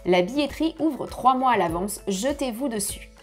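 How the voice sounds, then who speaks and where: Woman in her thirties, travel vlogger, chatty and animated, lapel mic, home office, soft music underneath. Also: fairly high pitch, moderate pace. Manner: lively, chatty, gesturing with hands. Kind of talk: travel tips vlog to camera. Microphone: clip-on lapel mic.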